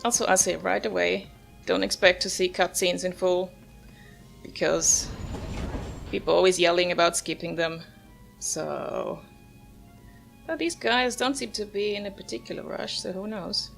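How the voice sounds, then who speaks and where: Female gamer, casual play-along commentary, creaky, lowish pitch, faint music behind. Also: fairly even intonation, moderate pace, pauses often. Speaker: gamer, host of the video series. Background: soft game music.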